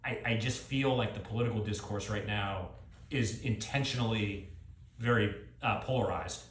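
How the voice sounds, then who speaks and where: A man's voice: on the low side, moderate pace. A middle-aged man talking off the cuff as an author at a live event, reflective and often circling back to rephrase his points. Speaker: author speaking at a book event. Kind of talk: thoughtful extemporaneous talk and audience Q&A. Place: live book event with an audience. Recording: roomy, echoey live event sound.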